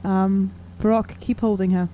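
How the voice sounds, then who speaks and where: A woman's voice: mid-range voice, level tone, measured pace, highs cut off, a little hiss or hum. A woman playing a tabletop role-playing game, talking casually and thinking aloud about her moves. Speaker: player on an actual play podcast.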